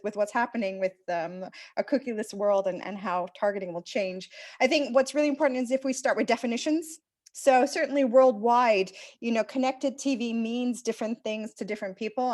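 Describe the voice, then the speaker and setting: A woman from Canada speaking on a video-call panel, explaining fluently with frequent fillers. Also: a little high. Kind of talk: panel discussion.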